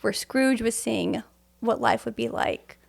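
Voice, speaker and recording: A woman's voice: on the high side, big pitch swings, takes it slowly, vocal fry. A woman who directs theater, speaking as a podcast guest in a casual, explanatory interview style.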